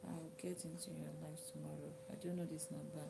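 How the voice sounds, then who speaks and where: A Nigerian woman whose native language is Yoruba, chatting casually with viewers on a live stream, sometimes dropping to a soft whisper. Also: sits low, level tone, quick pace, creaky, heavy background noise. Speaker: livestream host. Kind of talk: casual live chat reading comments.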